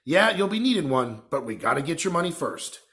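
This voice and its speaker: A man voicing a gruff, friendly giant with rustic, colloquial speech in a storybook reading. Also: a little high, big pitch swings, a little fast, a bit bright, pitched above the performer's own voice. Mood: proud, hearty.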